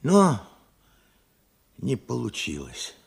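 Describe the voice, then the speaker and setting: An actor playing a frank, weary man in his late seventies in a radio drama, with a gravelly, husky voice and a halting, reflective manner. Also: medium pitch, huge pitch swings, exaggerated voice. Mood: wistful, regretful. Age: 70s. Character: weary, frank 78-year-old Russian worker recalling his life. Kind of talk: Soviet radio drama acting.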